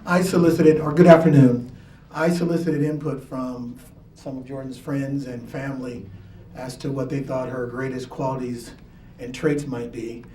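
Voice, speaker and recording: A man's voice: medium pitch, little pitch movement, moderate pace, dark tone. A father giving an earnest award speech with dry humorous asides. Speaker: parent presenting an award. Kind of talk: ceremony speech. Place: school event hall. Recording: echoey hall from far off, faint noise.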